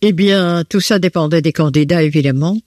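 A woman's voice: on the low side, a little mellow, dry recording, studio quality. A woman answers interview questions at home in her living room, hesitant and full of fillers. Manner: slow and hesitant, many fillers. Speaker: host of students at home.

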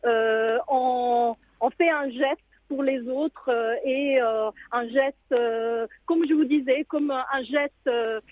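A woman from Italy, answering a live radio interview as a guest, halting with many fillers and restarts. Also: high voice, steady tone. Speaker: museum director, guest. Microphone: phone. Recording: tinny phone line.

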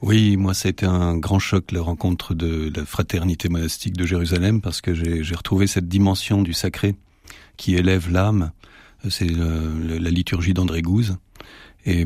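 A man with a very low voice, a radio interview guest. He speaks in a reflective, halting way, pausing on fillers. The recording is dry and close. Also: a little mellow, no echo.

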